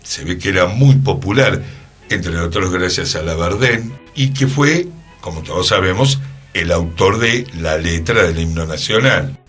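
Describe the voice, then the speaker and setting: Deep-voiced Argentine man, slow and smooth. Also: animated, fairly bright tone. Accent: Argentine, Rioplatense. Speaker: radio programme host. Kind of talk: conversational cultural history talk. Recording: music behind the voice. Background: music.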